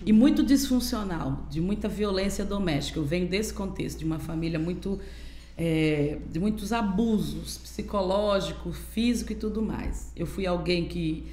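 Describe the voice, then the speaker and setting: A woman's voice: fairly low pitch, darkish tone, roomy sound, slight background noise. A woman in her forties from Pernambuco, a guest pastor telling her personal testimony candidly in a podcast conversation. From Pernambuco, Brazil. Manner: earnest, candid storytelling.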